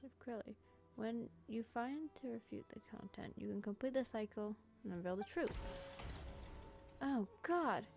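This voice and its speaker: A woman's voice: a little high, animated, measured pace, gravelly, a little mellow. Slangy woman game streamer over faint music. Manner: slangy, exclamatory. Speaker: streamer playing a story game. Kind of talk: casual gaming commentary, reading prompts aloud.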